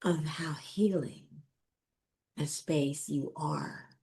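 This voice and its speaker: A woman hosting a podcast over a video call, soft-spoken and effusive in her praise. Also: low voice, fairly even intonation, drawn-out words, creaky, slightly rough. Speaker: podcast host. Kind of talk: casual interview chat.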